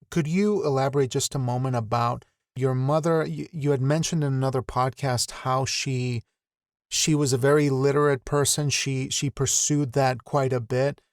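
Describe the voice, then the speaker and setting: A man's voice: mid-range voice, creaky, no room sound, clean studio sound. A man hosting a podcast interview, asking long, winding questions with restarts and an encouraging tone. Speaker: podcast host.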